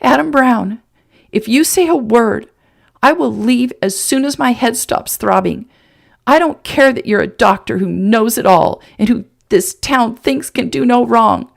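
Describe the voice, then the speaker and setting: A woman's voice: a little slow, creaky. A woman doing a fiery, defiant young woman. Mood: furious. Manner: high, indignant, sneering.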